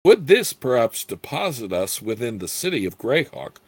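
A man voicing a composed, wary adventurer in a fantasy storybook, speaking politely and formally in a measured, somber way. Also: mid-range voice, big pitch swings, a little mellow, breathy edge, dry recording, voice pushed up. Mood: feigned casual. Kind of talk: fantasy storybook character reading.

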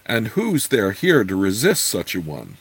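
A man's voice: lowish voice, wildly swooping pitch, unhurried, slightly rough, dry recording, heavily acted. Man putting on a meek young country girl. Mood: grateful. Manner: deferential, confiding.

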